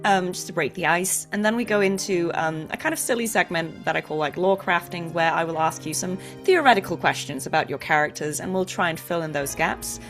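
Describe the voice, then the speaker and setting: A woman with a lowish voice hosts an interview show. She speaks in a casual, conversational way, with faint music underneath. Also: a little fast, few pauses, fairly bright tone.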